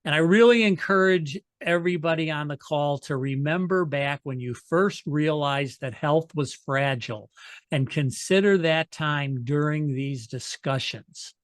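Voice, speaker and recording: A man's voice: fairly high pitch, a little slow, no echo, clean studio sound. Earnest man giving opening remarks. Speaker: patient co-chair. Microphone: video call.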